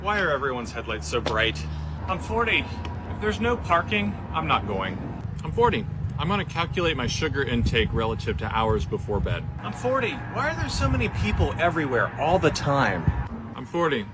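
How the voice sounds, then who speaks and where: Cranky forty-something man, comic monologue, animated intonation over music. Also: a little high, normal speed. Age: 40s. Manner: cranky, deadpan complaints. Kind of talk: comedic sketch monologue.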